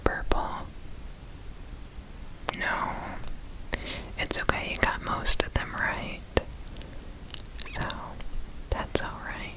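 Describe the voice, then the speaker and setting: A woman whispering softly and breathily in an ASMR roleplay, giving gentle, reassuring step-by-step instructions as an examiner. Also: very slow, pauses often, poor audio. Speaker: ASMR performer playing an examiner.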